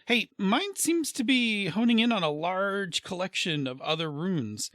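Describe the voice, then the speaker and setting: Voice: medium pitch, lively intonation, a little slow, a bit bright. An actor playing a snippy, competitive gnome in an amateur comedic fantasy audio drama.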